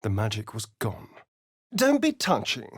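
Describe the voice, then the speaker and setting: A man's voice: lowish voice, wildly swooping pitch, a little slow, husky, dry recording, clean studio sound, heavily acted. An actor playing a sly, vain male vampire rogue in a video game, with an arch, sardonic, theatrical drawl. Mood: hushed, dramatic. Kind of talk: video game character voice lines.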